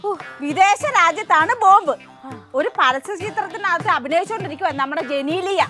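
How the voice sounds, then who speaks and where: A woman playing an ignorant, gossipy, loud housemaid in a television comedy sketch, excitable and bossy, speaking. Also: very high voice, normal speed, over loud music. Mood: flustered, scolding.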